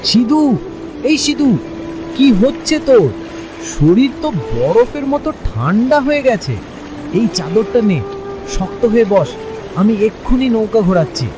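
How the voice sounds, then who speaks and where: A man's voice: sits high, sing-song, unhurried, long pauses, music in the background. Man as an emotional, loyal village fisherman. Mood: worried. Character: loyal, anxious village fisherman and devoted friend. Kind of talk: animated moral story acting.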